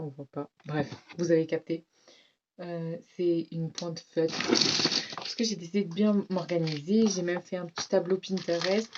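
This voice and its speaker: A woman's voice: on the low side, muffled recording, background noise. A teenage girl with a French accent, slightly congested from a cold, chatting casually to viewers in a rambling back-to-school haul vlog full of fillers. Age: teens. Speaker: vlogger.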